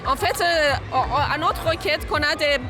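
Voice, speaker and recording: A high-voiced woman protester picks her words slowly, stumbling and starting over. Music and crowd noise fill the street. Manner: hesitant, restarts often. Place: outdoor city protest.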